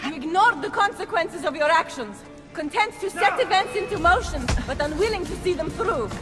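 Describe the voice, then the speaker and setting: Haughty high-pitched Italian noblewoman villain. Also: lively intonation, normal speed. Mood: scornful, taunting. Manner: haughty, theatrical, venomous. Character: cruel haughty young noblewoman villain, Lucrezia. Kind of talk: dramatic video game acting. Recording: loud music over the voice.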